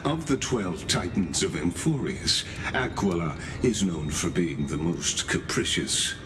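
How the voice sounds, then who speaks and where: A very low, composed male voice plays a machine-born game scholar who is polite to a fault and quietly scheming. His formal, deliberate phrasing rises and falls expressively, over a clear rumble of background noise. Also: lively intonation. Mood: reassuring, thoughtful. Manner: formal, deferential, measured, deliberate. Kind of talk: scripted video game character dialogue.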